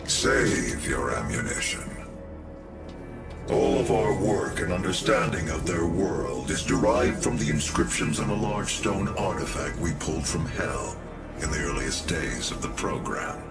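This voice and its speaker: A super deep creaky cyborg scientist from a game, calm formal and cold, big music under it. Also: male voice, unhurried, exaggerated voice. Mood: measured, explanatory. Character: calm, authoritative scientist in a towering robot body. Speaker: actor in a video game. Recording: loud music behind the voice.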